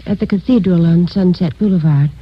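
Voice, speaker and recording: An actress in a 1950s radio drama playing a dignified, wealthy widow in subdued grief, hesitant and formal. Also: female voice, lowish voice, a little fast, husky. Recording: very muffled vintage radio recording.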